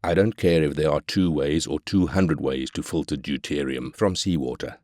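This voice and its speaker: A man's voice: extremely low pitch, normal speed, darkish tone, no echo, clean studio sound. A man playing a ruthless, arrogant corporate tycoon, brash and cynical, in scripted video game lines. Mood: irritated, callous. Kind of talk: scripted game character quotes.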